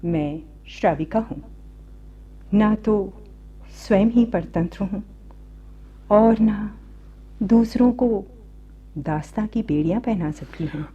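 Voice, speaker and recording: A devout young princess held captive in a radio play. The voice is soft, mellow and breathy, pleading in slow, formal phrases. The recording sounds a little dull. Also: female voice, medium pitch. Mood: firm, puzzled. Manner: formal, plaintive, deliberate. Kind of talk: slow, theatrical Hindi radio drama acting.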